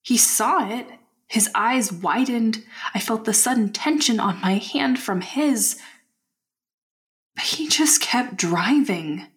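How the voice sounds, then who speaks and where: Slow-speaking, haunted young woman. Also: fairly high pitch, lively intonation, a bit bright. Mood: uneasy, insistent. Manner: deliberate, clipped phrases with pauses. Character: haunted, insistent young woman recounting her unease. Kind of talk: scripted audio diary narration, dramatic.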